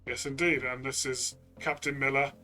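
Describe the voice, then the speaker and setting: A man's voice: medium pitch, little pitch movement, normal speed, sharp tone, soft background music. A man co-hosting a casual review discussion from a player's side, agreeable and conversational, feeling out his phrasing. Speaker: co-host and player.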